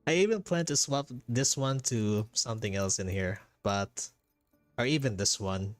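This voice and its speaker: A man giving casual livestream commentary as a game streamer, speaking haltingly and repeating phrases for emphasis. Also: fairly low pitch, lively intonation, no echo. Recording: game music behind the voice. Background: game music.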